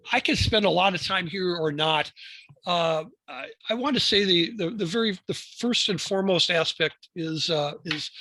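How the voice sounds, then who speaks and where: A man giving an informal slide presentation to a hobby club, speaking in a halting way and often rephrasing himself. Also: high-pitched, pushed and bright, no room sound, poor audio. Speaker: presenter at an amateur radio club.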